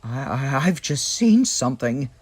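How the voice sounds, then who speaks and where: A man's voice: medium pitch, very animated, measured pace, a little mellow, husky. A man voicing a timid male engine who talks in a shaky voice with a heavy stutter, in a storybook reading. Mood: scared.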